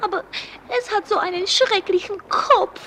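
A woman's voice: very high voice, normal speed, fairly bright tone. An actress playing a flighty, vain, easily frightened young rich woman in a German radio play, with a girlish, theatrical delivery. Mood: terrified. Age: young adult. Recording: noisy radio play with outdoor ambience.

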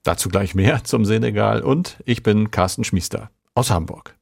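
A man's voice: lowish voice, moderate pace, gravelly. A man hosting a podcast, reading scripted moderation. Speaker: podcast host.

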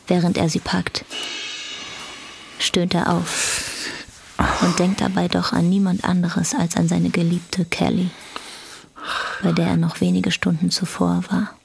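A woman reading scripted literary narration for a radio drama, with a creaky voice. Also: fairly low pitch, level tone, unhurried, pauses often, slight background noise. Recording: guitar music behind the voice.

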